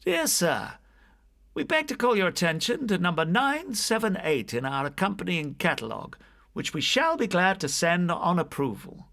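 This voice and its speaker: A man's voice: high-pitched, sing-song, normal speed, creaky, bright, forceful tone, voice pushed up, full character voice. A man voicing a courteous art dealer's letter and catalogue in a clipped, businesslike manner for an audiobook. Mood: polite, formal.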